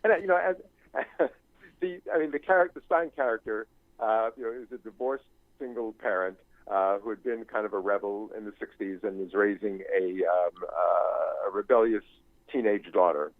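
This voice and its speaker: Phone-line audio, older man, low pitch, halting reflective interview answers, rambling delivery, dry sound. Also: no room sound. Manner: halting, searching for words, rambling. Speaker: interview guest, novelist and screenwriting teacher.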